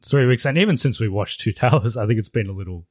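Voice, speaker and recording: A man's voice: fairly low pitch, lively intonation, quick pace, no echo, clean studio sound. A man hosting a casual podcast, steering the segments and reading out facts and figures. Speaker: podcast host.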